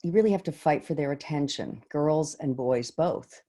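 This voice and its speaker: Actress doing a earnest female middle school teacher, mellow voice, thoughtful pauses, shaken, stage monologue over video call. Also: sits low. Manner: reflective, halting, deliberate pauses.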